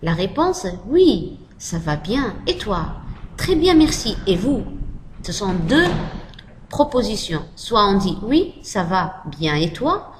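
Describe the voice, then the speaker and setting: A woman giving a French lesson, talking slowly and repeating model phrases for learners. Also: mid-range voice, sing-song, a little hiss or hum, low-quality recording. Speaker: French teacher. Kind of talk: slow spoken language lesson.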